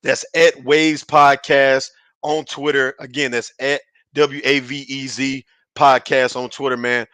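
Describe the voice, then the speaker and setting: A man hosting a casual sports podcast, his voice raspy and hoarse from yelling, talking in a hyped, slangy way on a headset mic. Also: mid-range voice, flat delivery, measured pace, dry recording. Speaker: podcast host.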